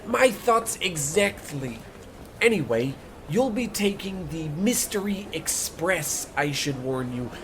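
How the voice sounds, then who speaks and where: An actor playing an eager, folksy, fawning young ticket clerk in an old-time radio comedy. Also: male voice, fairly high pitch, takes it slowly, a little hiss or hum. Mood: obliging. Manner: chirpy, bootlicking, excitable. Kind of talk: old-time radio play parody acting.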